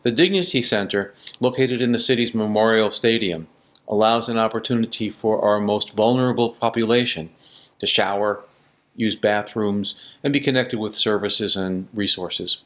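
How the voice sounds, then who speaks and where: A man giving a scripted, measured announcement on behalf of a community coalition. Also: on the low side, a bit bright. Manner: measured, read-from-script delivery. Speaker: coalition spokesperson. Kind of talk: scripted narration.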